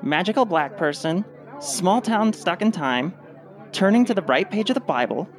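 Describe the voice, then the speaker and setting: A woman's voice: lowish voice, expressive, moderate pace, soft background music, some chatter behind, natural voice. A woman playing a fed-up, genre-savvy cynic with a dry, sardonic manner in a satirical radio play. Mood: wry, scheming.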